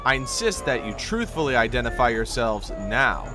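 A man's voice: mid-range voice, big pitch swings, normal speed, music in the background, lightly acted. A man voicing a polite, formal male train concierge in a fan comic dub, courteous and a bit flustered.

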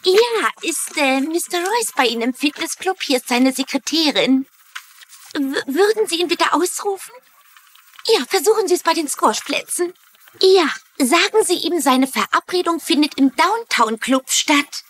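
An actress playing a polite, eager secretary, easily flustered, in a dubbed TV drama. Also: female voice, sits high, animated, measured pace, a little hiss or hum. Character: flustered, eager, knowledgeable female stockbroker's secretary. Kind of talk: German-dubbed TV drama acting.

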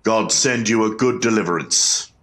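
A man's voice: on the low side, normal speed, over a noisy crowd, natural voice. A man playing a solemn, formal colonial court clerk in a historical reenactment, with a ceremonious, declamatory manner.

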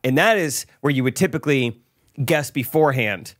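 A man's voice: mid-range voice, animated, moderate pace, a bit bright. A young man co-hosting a podcast, asking dry teasing questions and interrupting playfully, on a studio mic. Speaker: podcast co-host. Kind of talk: casual chat. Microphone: studio mic on boom arm, headphones.

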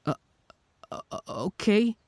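A man's voice: slow, darkish tone, dry recording, close to an everyday voice. A man playing a timid, lovestruck teenage schoolboy in an audio roleplay, stammering and hesitant. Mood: nervous, flustered. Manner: stammering, hesitant, breathless eagerness. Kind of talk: scripted ASMR roleplay acting.

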